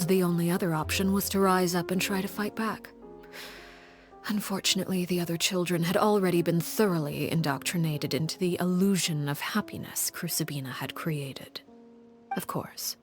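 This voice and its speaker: A woman voicing a cold, commanding middle-aged leader who tells a grim story in a measured, solemn way, often dropping to a hushed, breathy tone, in a studio game recording. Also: on the low side, lightly acted. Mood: grave. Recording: game audio with faint music behind.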